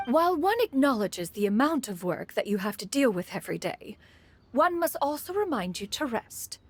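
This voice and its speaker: A woman's voice: on the high side, sing-song, moderate pace, slightly rough. A woman voicing an ancient female crane spirit in a video game, formal and haughty, calling herself "one". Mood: stately.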